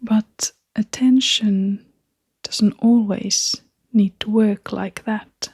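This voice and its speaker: Female meditation guide: hushed and breathy, level intonation, unhurried short phrases with long gaps, very dry close recording. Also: medium pitch, fairly even intonation, dry recording. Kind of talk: guided meditation, soft-spoken.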